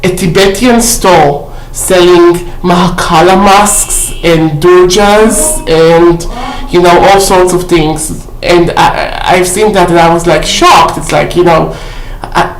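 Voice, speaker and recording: A woman's voice: fairly low pitch, steady tone, slow, hardly any pauses, soft background music. A woman casually showing off a shopping haul on her vlog, chatty and full of fillers, often checking in with "okay?". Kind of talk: casual haul video chat. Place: indoor room at home. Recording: roomy echo.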